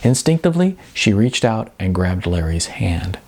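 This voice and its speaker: A man's voice: on the low side, big pitch swings, normal speed, darkish tone. A man, an author reading from his own novel in an informal, conversational storytelling style.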